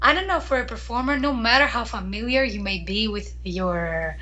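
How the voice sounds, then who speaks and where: A woman from Greece, a professional singer, chatting in an interview and often pausing and restarting mid-sentence. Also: fairly high pitch, expressive, bright, forceful tone, muffled recording. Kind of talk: casual interview chat.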